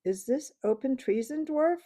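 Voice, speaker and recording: A woman voicing a young male king who speaks out loud and accusing. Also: high voice, animated, unhurried, voice pushed up. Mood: shocked.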